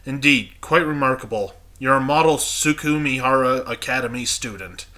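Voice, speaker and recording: Man reading a stiff game class president, formal inspector, congested, very bright. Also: mid-range voice, normal speed, close to an everyday voice. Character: stern male student council president, strict and formal.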